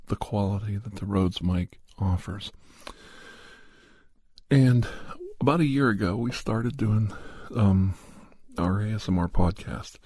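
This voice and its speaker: A man with a low, mellow, husky voice hosts an ASMR podcast in a soft, casual monologue. He has a slight head cold. He is recorded close and dry on a studio microphone. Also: no echo. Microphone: studio condenser microphone, close.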